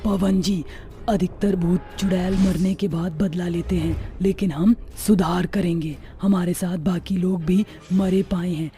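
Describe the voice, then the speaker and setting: A woman's voice: on the low side, fairly even intonation, a little slow, mellow, faint music behind, close to an everyday voice. A woman playing a bossy female witch ghost in a Hindi-style cartoon story, with a brash, scolding manner. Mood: resolved. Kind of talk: animated cartoon story acting.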